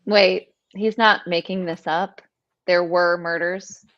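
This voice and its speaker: A woman's voice: fairly low pitch, steady tone, slow pace, dull audio. A woman playing a skeptical, scoffing young female camper in a live horror script read. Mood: incredulous. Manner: incredulous, scoffing.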